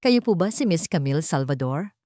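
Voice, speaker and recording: A woman's voice: low-pitched, expressive, a little fast, warm tone, dry recording, studio quality. A woman voicing a deferential, matter-of-fact male delivery worker in a love story. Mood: polite. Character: polite, hesitant deliveryman in uniform.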